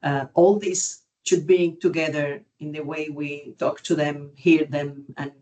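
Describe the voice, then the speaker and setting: A woman from Cuba with a Cuban Spanish accent, speaking as an expert panellist in an unscripted webinar, searching for words in halting non-native phrasing. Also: low voice, darkish tone, low-quality recording. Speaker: genetic counselling expert and panellist. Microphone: video call.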